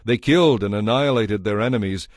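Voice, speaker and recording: A man narrating a dramatized audio Bible in a measured, formal storytelling manner. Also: on the low side, no echo, studio quality.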